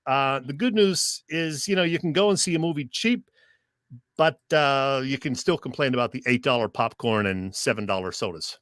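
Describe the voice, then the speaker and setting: A man's voice: medium pitch, moderate pace, a bit bright, no echo. Casual male sports radio co-host, dry sound. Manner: conversational, joking.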